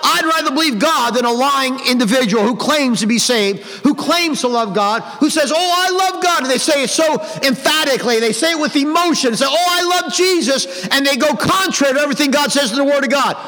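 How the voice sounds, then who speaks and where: A man's voice: extremely high pitch, a little fast, few pauses, pushed and bright. A man preaching a fiery, old-fashioned sermon in a stern, emphatic way, repeating phrases for effect. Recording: hall reverb on the voice.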